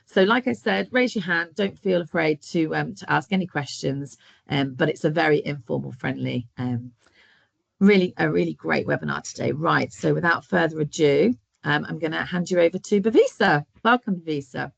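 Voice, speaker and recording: A man's voice: on the high side, normal speed, gravelly, fairly bright tone, no room sound, highs cut off. A man hosting a webinar over a video call, talking hesitantly with many restarts. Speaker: webinar host. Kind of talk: introducing a webinar.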